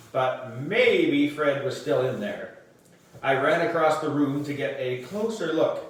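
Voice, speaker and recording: Echoey, slow-paced man reading a storybook. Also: mid-range voice. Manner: animated, dramatic emphasis. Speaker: audiobook reader for a book club. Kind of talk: expressive children's storybook narration. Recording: roomy echo, distant microphone.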